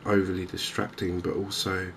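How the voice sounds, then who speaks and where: A man softly narrating a game in ASMR style, hushed and hesitantly thinking aloud. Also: sits low, little pitch movement, normal speed, vocal fry. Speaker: gaming video host. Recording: noticeable background ambience noise. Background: game ambience.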